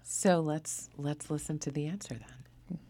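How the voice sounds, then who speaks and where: A man's voice: fairly high pitch, steady tone, a little slow, creaky, mellow, no room sound, soft background music. A man hosting a radio interview, asking questions in a conversational style.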